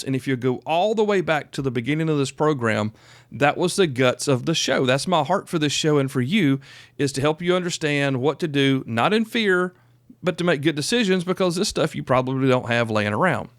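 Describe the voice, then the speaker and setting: Guy in his 50s doing a casual podcast, kinda high voice, close dry mic, music behind him. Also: no room sound. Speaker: podcast host. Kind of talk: casual solo podcast talk.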